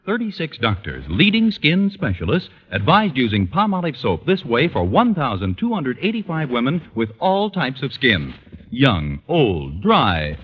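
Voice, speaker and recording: Husky, very animated American radio announcer man. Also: medium pitch. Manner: emphatic, polished sales delivery. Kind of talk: 1940s radio commercial announcing. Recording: dull old radio broadcast, long reverb.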